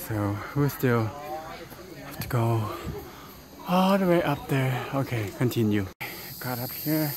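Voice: mid-range voice, lively intonation, slow, pauses often, crowd noise. A travel vlogger narrating casually in short, exclamatory remarks into a handheld device. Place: outdoors on a mountain temple stairway and summit. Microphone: handheld phone or camera held by speaker. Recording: noisy outdoor handheld recording, insect ambience.

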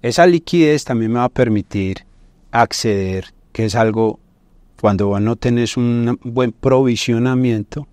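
A man's voice: medium pitch, measured pace, a little mellow, no echo. A middle-aged man with a Colombian accent explains business finance as a podcast guest, in a didactic way with frequent tag questions. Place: indoor podcast studio. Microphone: lapel mic.

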